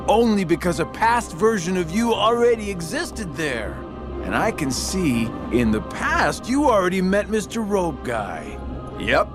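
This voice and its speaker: An actor playing a smug, taunting middle-aged villain with a sardonic, mockingly playful drawl in a video game cutscene. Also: male voice, high-pitched. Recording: game soundtrack music behind the voice.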